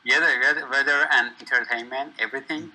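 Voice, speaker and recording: Bright-voiced man. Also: mid-range voice, steady tone, low-quality recording. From Iran. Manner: friendly, lists tech with short pauses. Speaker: software engineer. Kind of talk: casual networking chat. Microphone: video call.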